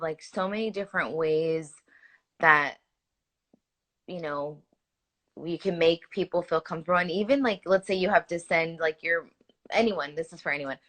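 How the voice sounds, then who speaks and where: A young adult woman, a hospital nurse, answering interview questions in an earnest, animated way, with many "like" fillers and restarts. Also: lowish voice.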